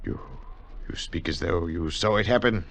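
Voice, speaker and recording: A man's voice: low voice, moderate pace, creaky, a bit bright, full character voice. An actor playing a scholarly, awestruck adventurer who tells his tale earnestly and dramatically in 1940s radio style. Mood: incredulous. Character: male scholarly adventurer narrating, sceptical and awestruck. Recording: dull 1940s radio recording with music.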